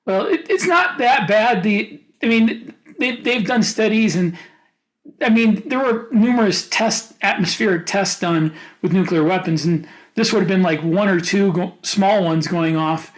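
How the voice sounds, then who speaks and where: A man co-hosting a casual podcast discussion, talking in a halting way as he thinks aloud. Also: sits high. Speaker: podcast co-host and author.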